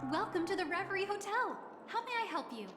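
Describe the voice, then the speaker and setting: An actress playing a polite young hotel receptionist in a video game, with a courteous service tone. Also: female voice, extremely high pitch, lively intonation, quick pace. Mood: welcoming, puzzled. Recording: echoey hall reverb under music.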